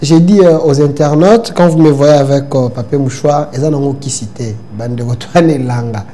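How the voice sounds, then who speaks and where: Congested, mellow-voiced host. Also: male voice, medium pitch, normal speed. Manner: probing, presses with follow-up questions. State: has a head cold. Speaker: talk show host. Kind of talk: interviewer questioning a guest.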